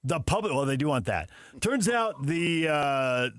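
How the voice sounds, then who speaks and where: Higher-pitched American sports radio host. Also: male voice, animated, measured pace, dry recording, clean studio sound. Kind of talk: upbeat sports radio banter.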